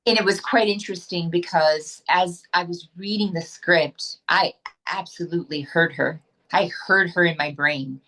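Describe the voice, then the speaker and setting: A woman with a fairly low voice talks about her acting work as an interview guest. She is chatty and upbeat, and she often stops and restarts her sentences. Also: moderate pace, sharp tone, poor audio. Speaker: actress, guest. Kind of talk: casual interview chat.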